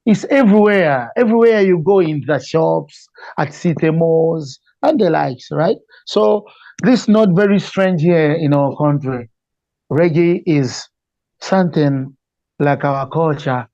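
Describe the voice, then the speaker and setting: A Malawian man with a fairly high, very mellow voice gives conversational interview answers. His accent is Malawian. The recording is very dry, with a muffled, lo-fi sound. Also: unhurried, dry recording. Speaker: interview guest, reggae singer.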